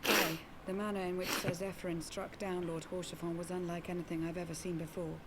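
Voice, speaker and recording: A woman's voice: lowish voice, little pitch movement, fairly quick, noisy background, lightly acted. An actress playing a grave, loyal female knight in a fantasy video game, speaking formally. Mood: grave concern. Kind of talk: dramatic video game voice acting.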